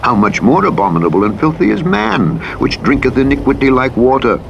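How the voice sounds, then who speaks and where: A man narrating the Bible as an audiobook, in a solemn, formal, measured and dramatic reading style. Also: medium pitch, sing-song, gravelly, highs cut off. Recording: playback under loud truck engine noise. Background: truck engine and vehicle noise from a driving game.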